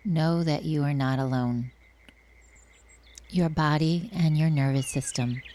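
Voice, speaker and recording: A woman leading a guided yoga nidra meditation, speaking gently and soothingly with long pauses. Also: very deep voice, slight background noise.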